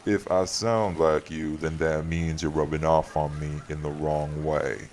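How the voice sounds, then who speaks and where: A man playing a weary, courtly vampire host, gravelly and firm, in an audio drama. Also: rumbling low, flat delivery, a little slow, darkish tone. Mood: gentle, flirtatious. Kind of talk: audio roleplay drama acting.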